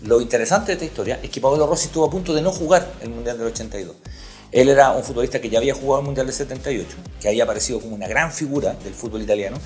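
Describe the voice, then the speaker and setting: A man's voice: mid-range voice, racing, a little mellow, faint music behind. A man hosting a sports podcast, telling stories emphatically and repeating key points. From Chile. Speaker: podcast host, sports journalist.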